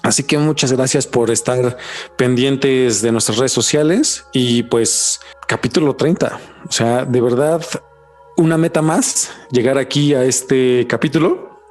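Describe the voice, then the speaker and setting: A man's voice: medium pitch, a little slow. Male podcast host, medium register, presenter delivery with casual touches, light music bed. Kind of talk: podcast presenting, scripted intros and casual chat.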